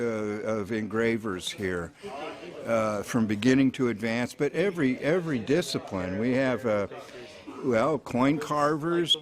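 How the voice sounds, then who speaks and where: A middle-aged or older man chatting casually in an interview, folksy, rambling and hesitant. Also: mid-range voice. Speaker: engraver. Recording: busy crowd chatter behind.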